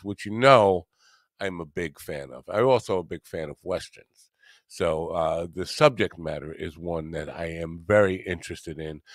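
An older man hosting a podcast interview in a casual, halting, self-deprecating way, speaking into a studio microphone. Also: low-pitched, a bit bright, dry recording. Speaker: podcast host. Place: indoor home studio. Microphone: close studio mic on boom arm, headset.

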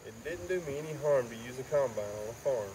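A man's voice: mid-range voice, animated, fast. Man reading aloud outdoors, distant, noisy. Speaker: volunteer firefighter. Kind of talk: read-aloud of children's rhyming picture book. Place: outdoor parking lot. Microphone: distant camera. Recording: distant mic outdoors, clear background noise.

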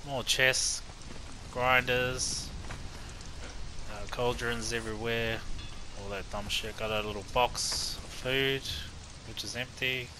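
A man's voice: fairly low pitch, background noise. A man from New Zealand with a New Zealand accent, casually rambling and swearing through a game base tour. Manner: laid-back, rambling, swears freely. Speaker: gameplay streamer.

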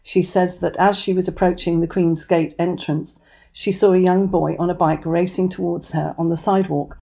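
A woman's voice: on the low side, steady tone, moderate pace, creaky, warm tone. A woman giving opinion commentary as a voice-over. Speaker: commentary channel host.

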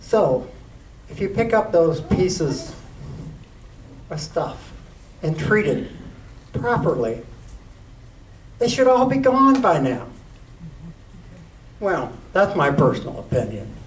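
An older man giving a slow, deliberate explanation at a public talk in a museum meeting room. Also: a little high, very animated, a little hiss or hum. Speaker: guest speaker. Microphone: handheld mic, far camera. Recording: roomy, distant camera audio.

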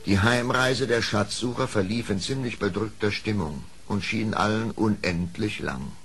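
A man narrating an old audio play as a storyteller, measured and expressive, in dramatic scripted style. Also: on the low side. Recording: faintly hissy old audio play record.

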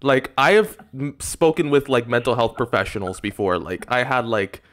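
A man's voice: medium pitch, normal speed, a bit bright. A young man hosting a casual reaction stream, talking in a rambling way full of "like", at a desk microphone in a small room. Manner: rambling, filler-heavy, many likes. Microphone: large desk microphone with headset.

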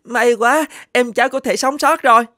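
A woman's voice: on the high side, normal speed, a bit bright, no room sound, clean studio sound, close to an everyday voice. A woman voicing a caring, earnest orphan boy, a protective older brother in a cartoon, speaking tenderly and politely. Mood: relieved. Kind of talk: animated children's story dubbing.

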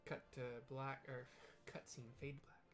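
A man's voice: medium pitch, little pitch movement, a little slow, a bit bright. Middle aged guy streaming a game, chatty n self-deprecating, describes what's on screen, music playing behind him. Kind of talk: casual gameplay commentary with visual descriptions. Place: small room indoors at home.

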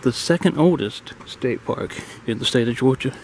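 A middle-aged man casually narrating a hike on a wooded lakeside trail in a relaxed, admiring way, recorded on a handheld camera. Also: medium pitch, normal speed, vocal fry. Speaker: hiking vlogger. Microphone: handheld camera mic, speaker off camera. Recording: faint outdoor noise.

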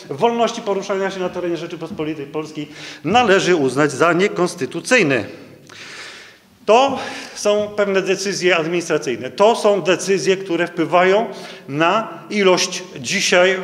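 A middle-aged man giving a conference talk at a stand microphone. He reads legal passages aloud in a halting way, with many fillers and restarts. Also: high-pitched. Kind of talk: conference lecture reading out court rulings. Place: conference hall, indoors, standing at a lectern. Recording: echoey hall, long reverb.

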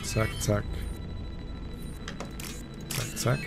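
A man giving casual commentary as host of a gameplay video. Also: extremely slow. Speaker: let's play host. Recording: game music behind the voice. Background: video game music.

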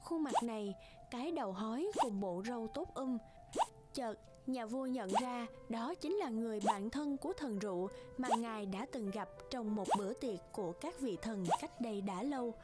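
A woman's voice: on the high side, animated, moderate pace, music in the background. A woman reading scripted fairy-tale narration for a cartoon as the storyteller.

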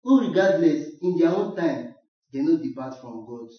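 A man's voice: a little high, animated, moderate pace, close to an everyday voice. Man acting an insistent Nigerian village chief, very echoey. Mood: insistent pleading. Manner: declamatory, pleading, repetitive. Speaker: student actor. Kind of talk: radio drama acting in Nigerian Pidgin. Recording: dull, echoey, distant from mic.

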